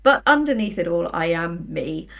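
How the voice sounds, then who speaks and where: A woman's voice: fairly low pitch, expressive, moderate pace, gravelly, a bit bright, dull audio. Woman chatting casually, slightly distant from mic. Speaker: guest, writer. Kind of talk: casual interview chat.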